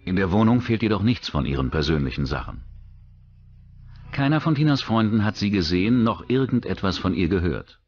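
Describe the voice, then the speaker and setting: Very deep male documentary narrator. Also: very animated, fairly quick, a little mellow, lo-fi sound. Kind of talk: scripted true-crime documentary narration.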